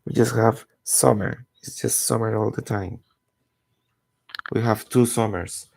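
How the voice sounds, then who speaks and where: Gravelly Costa Rican man in his forties. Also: lowish voice, steady tone, unhurried, a little mellow. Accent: Costa Rican Spanish. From Costa Rica. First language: Spanish. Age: 40s. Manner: rambling, searching for words. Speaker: comic artist streaming his drawing. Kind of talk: casual chat while drawing.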